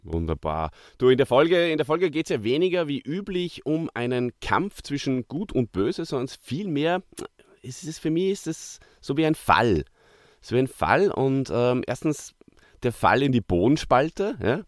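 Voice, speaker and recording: A man's voice: mid-range voice, sing-song, measured pace, no echo, studio quality. A man hosting a casual podcast chat while suffering from a cold. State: has a cold. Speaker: podcast host.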